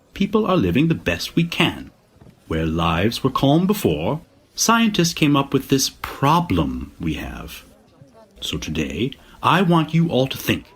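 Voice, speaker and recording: Actor as husky, low-voiced rousing politician. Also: male voice, a bit bright, heavily acted. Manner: oratorical, emphatic. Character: rousing male politician addressing a European crowd. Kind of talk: theatrical political speech in audio drama.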